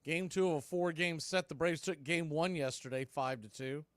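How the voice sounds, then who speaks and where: Guy calling a ball game radio style, kinda high voice, short punchy calls then chatty asides, real dry close sound. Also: normal speed, dry recording. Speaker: baseball play-by-play announcer. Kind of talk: live radio play-by-play commentary.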